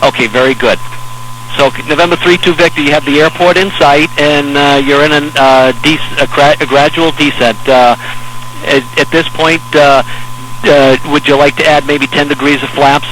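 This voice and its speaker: An American man working as an air traffic controller, giving measured, hesitant, reassuring step-by-step instructions over aviation radio. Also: a little high, steady tone, a bit bright, faint music behind, poor audio. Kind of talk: instructional radio talk to a pilot in an emergency.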